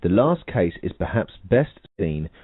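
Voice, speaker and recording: A man reading a nonfiction book aloud on a radio show, in a measured, emphatic way that lifts on key points. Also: low voice, very animated, slightly rough, no room sound. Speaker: audiobook reader. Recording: tinny phone-like radio broadcast.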